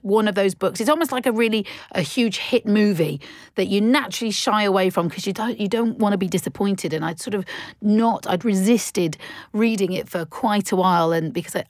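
A woman with a slightly low voice gives a chatty, personal recommendation. She gushes and repeats words for emphasis, and the recording is very dry. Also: dry recording. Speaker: radio presenter.

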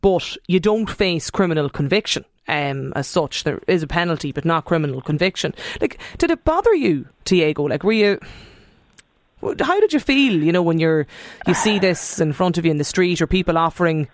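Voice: mid-range voice, animated, normal speed, no echo. Radio host asking probing questions. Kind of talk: radio interview.

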